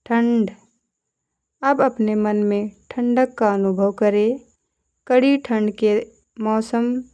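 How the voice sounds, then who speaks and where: Very slow, mellow woman guiding meditation. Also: medium pitch. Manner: calm, deliberate short phrases with instructions. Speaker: yoga nidra instructor. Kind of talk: guided meditation instruction.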